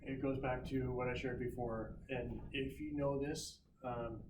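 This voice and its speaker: A man talks to a class as a visiting recruiter, loose and chatty, stopping often to restart his sentences. He is picked up by a mic well away from him, so the voice rings around the room. Steady background noise sits under the speech. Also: mid-range voice, a little slow. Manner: loose and conversational, many ums and restarts. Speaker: guest speaker, recruiter. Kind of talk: classroom talk giving career advice. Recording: roomy, distant mic, noisy.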